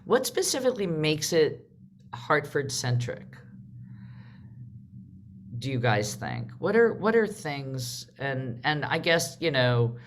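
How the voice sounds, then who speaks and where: Casting: woman, panel host on a video call, conversational, halting, self-interrupting delivery. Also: deep voice, big pitch swings, a little hiss or hum. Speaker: host and artistic director leading a panel. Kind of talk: live online panel discussion.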